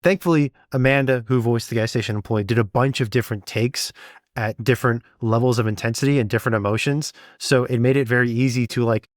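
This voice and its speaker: A man's voice: mid-range voice, steady tone, quick pace, dry recording, clean studio sound. A man co-hosting a casual behind-the-scenes podcast, talking informally and repeating words as he thinks aloud. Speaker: podcast co-host and sound designer.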